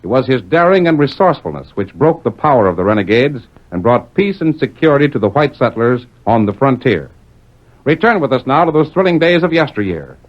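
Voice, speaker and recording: A man's voice: medium pitch, sing-song, fairly quick. A man with an American accent delivering dramatic, declamatory scripted narration in the style of 1940s radio. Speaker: announcer and narrator. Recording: muffled 1940s radio recording with music.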